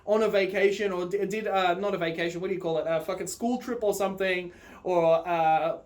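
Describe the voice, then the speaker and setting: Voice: medium pitch, steady tone, fairly quick. Casual, rambling, sweary vlogger. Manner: rambling, informal, sweary, often breaking into laughter. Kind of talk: casual personal storytelling to viewers.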